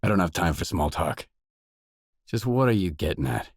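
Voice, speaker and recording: A male actor playing a weary, tough, guarded stalker mercenary in a video game, speaking in blunt, deadpan lines. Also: low-pitched, moderate pace, breathy edge, no room sound, studio quality. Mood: matter-of-fact. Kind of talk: video game voice acting, isolated dialogue lines.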